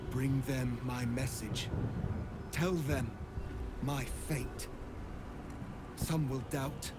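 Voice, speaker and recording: An actor playing a solemn, regal alien rebel prince in a game, measured, commanding and oratorical. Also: male voice, medium pitch, fairly even intonation, heavy background noise. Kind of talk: dramatic game acting. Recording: loud orchestral music behind.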